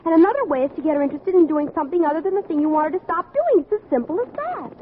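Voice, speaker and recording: A woman's voice: very high voice, expressive, racing. An actress playing an earnest, chatty, meddling teenage schoolgirl in a vintage radio sitcom. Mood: bossy, scheming. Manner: gushing, earnest, tactlessly frank. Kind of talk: 1940s-50s radio sitcom acting. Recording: narrow vintage radio recording, audience, hiss.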